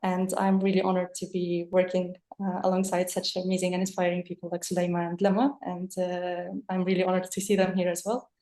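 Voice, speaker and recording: A woman's voice: on the low side, completely flat delivery, brisk, few pauses, mellow. A young adult woman from Lebanon introducing herself as a panelist on an online call, fluent and friendly with some fillers. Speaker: panelist, peacebuilding coordinator. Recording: echoey room on a call.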